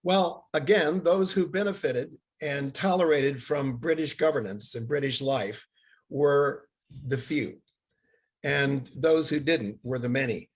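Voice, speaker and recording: An older man who grew up in Bangladesh, giving a halting seminar lecture over slides, with many fillers and restarts. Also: on the high side, slightly rough.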